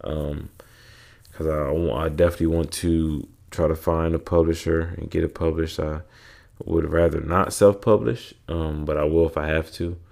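A man's voice: very deep voice, level tone, normal speed, gravelly, a little mellow. A young man, congested and with a sore throat, hosting a casual solo podcast and rambling with lots of fillers and restarts. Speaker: podcast host.

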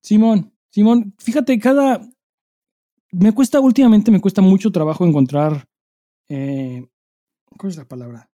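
Mexican male podcast co-host, slightly high pitch but very soft-edged tone, informal and foul-mouthed storyteller. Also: unhurried, long pauses, no room sound, clean studio sound. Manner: informal, profanity-laden, acts out dialogue while telling anecdotes. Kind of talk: casual chat with slangy storytelling.

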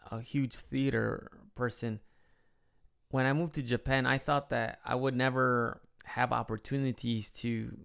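Chatty Chicago man with gravelly voice. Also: medium pitch, a bit bright. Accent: Midwest American with a slight Mexican tinge. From Chicago, United States. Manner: rambling, chatty, frequent restarts. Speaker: vlogger living in Japan. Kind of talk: casual personal vlog.

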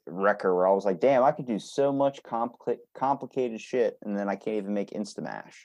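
A man's voice: lowish voice, animated, fairly quick, a little mellow, no room sound, lo-fi sound. A man hosting a podcast in casual chat, self-deprecating and free with swearing. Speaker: podcast host.

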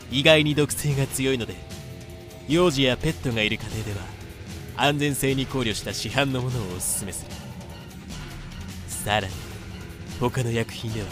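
Man acting young male self-styled god, middle register, measured, self-important, audio-drama explainer. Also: mid-range voice, natural voice. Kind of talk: fan-made comedic audio drama.

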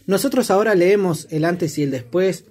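A man's voice: high-pitched, normal speed, darkish tone. A man with an Argentine accent explaining his views in a casual discussion, reflective and asking rhetorical questions. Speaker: discussion participant.

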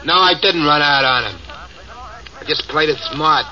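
A man's voice: on the high side, moderate pace, sharp tone. An actor playing a cowardly young male bank robber who whines, stammers and pleads. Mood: nervous. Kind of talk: 1940s radio acting. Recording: dull old radio recording with hiss.

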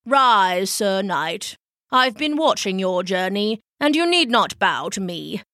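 A woman's voice: medium pitch, big pitch swings, takes it slowly, pushed and bright, no echo, studio quality, heavily acted. A woman voicing a wise old male druid wizard in a storybook, solemn and formal. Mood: kindly, stately. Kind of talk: storybook character acting.

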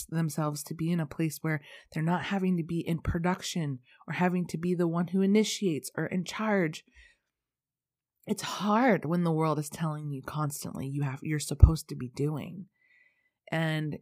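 A woman's voice: lowish voice, a little mellow, dry recording, studio quality. A woman hosting a reflective solo podcast, earnest and self-deprecating, who laughs often and sounds congested. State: stuffy, congested. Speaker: podcast host.

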